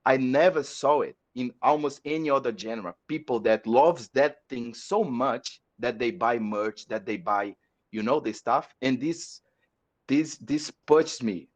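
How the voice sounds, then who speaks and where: A man from Brazil speaking English with a Brazilian accent, a metal musician chatting on a podcast, halting and repeating words as he searches for them. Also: medium pitch. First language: Portuguese. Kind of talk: casual podcast chat. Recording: dull lo-fi audio.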